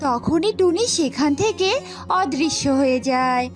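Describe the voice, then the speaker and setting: High-pitched woman narrating a cartoon tale. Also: expressive, a little slow, over music. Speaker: storyteller. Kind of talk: scripted children's cartoon narration.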